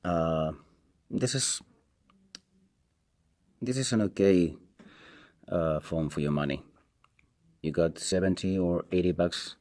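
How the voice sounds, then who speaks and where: Low, slow-talking man with Spanish accent. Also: darkish tone. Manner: halting, hesitant, repeats himself. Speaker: tech reviewer, video host. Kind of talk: casual unscripted product review.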